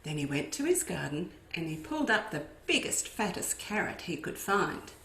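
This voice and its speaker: A woman's voice: on the low side, big pitch swings, moderate pace, sharp tone. A woman, a children's educator, reading a farm story aloud expressively and turning to her young listeners with asides. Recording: echoey room.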